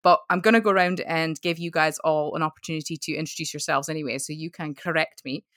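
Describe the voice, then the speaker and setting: Host: woman, low voice, dry close sound, chatty and warmly apologetic. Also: fairly even intonation, fast, no room sound. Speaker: podcast host. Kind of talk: casual podcast chat.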